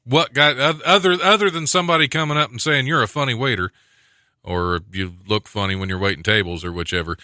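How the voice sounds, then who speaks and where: A man's voice: mid-range voice, fairly quick, sharp tone, no room sound. A man hosting a radio talk show in a studio, chatting casually and laughing often as he teases his guest. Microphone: studio mic.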